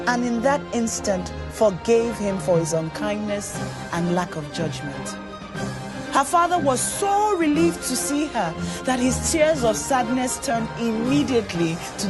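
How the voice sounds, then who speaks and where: A woman reading a scripted story as an expressive narrator, deliberate and dramatic. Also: fairly high pitch. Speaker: story reader. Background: music under the voice.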